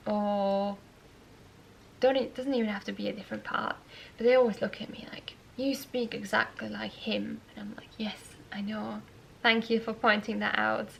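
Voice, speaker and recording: Slow-talking woman with Yorkshire-tinged accent. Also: fairly high pitch, vocal fry. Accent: Yorkshire-tinged English picked up as an Austrian speaker. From Austria. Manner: slow, thoughtful, with fillers and soft laughs. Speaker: knitting vlogger. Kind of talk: casual Q&A vlog.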